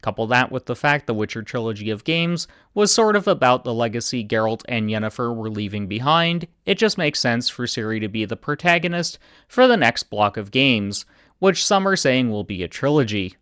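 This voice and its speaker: Male game commentator, deadpan read, resonant and dry. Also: mid-range voice, normal speed, smooth, no echo. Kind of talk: scripted video commentary.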